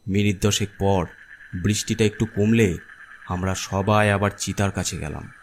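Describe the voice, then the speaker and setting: A man's voice: deep voice, fairly even intonation, unhurried, slight background noise. A man narrating a scripted first-person horror story as its storyteller. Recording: clear music bed under narration. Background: background music.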